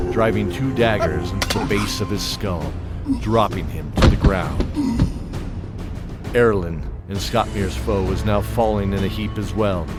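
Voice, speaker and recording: A man with a low voice narrates a fantasy tale in a dramatic, measured way. It sounds like scripted audio drama, with clear music behind him.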